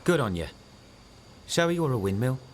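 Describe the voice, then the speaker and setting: A man plays a supportive boyfriend in a radio soap, mid-pitched with lively intonation. He is easygoing and gently joking, with clear ambient noise behind him. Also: moderate pace, lightly acted. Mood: encouraging. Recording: noticeable background noise.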